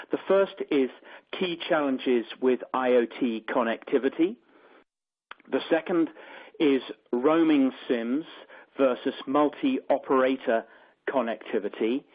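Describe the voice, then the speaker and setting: Man moderating over a tinny phone line. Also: mid-range voice. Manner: measured, structured hand-offs to panelists. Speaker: panel moderator. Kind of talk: webinar panel moderation.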